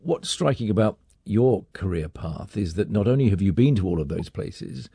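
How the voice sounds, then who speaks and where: A man's voice: lowish voice, lively intonation, normal speed, creaky, mellow, husky, no room sound, clean studio sound. A man presenting and interviewing on a radio programme. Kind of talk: broadcast interview.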